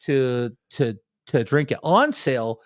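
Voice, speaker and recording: Older man in his sixties, even pitch, close dry mic, storytelling guest who rambles and restarts. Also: takes it slowly, no room sound. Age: 60s. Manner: rambling, repeats words when starting. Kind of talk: casual chat, storytelling.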